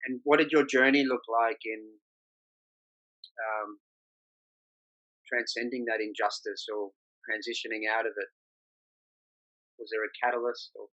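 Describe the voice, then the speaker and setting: An interview host asking calm, measured questions. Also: mid-range voice, muffled recording. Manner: calm, brief affirmations.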